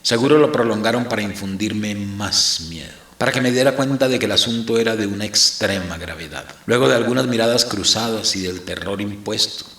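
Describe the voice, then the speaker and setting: An actor playing a grave, fatalistic captive priest who narrates his ordeal in a measured, theatrical, literary audio-drama style. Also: fairly low pitch, bright, forceful tone, reverberant. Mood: grave, brooding.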